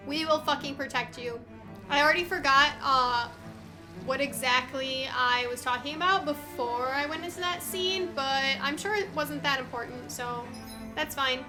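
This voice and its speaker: A woman with a high, strikingly bright voice gives casual let's-play commentary. She gushes and reacts emphatically, with faint music behind her. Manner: gushing, emphatic reactions to the characters. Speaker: let's player, game streamer.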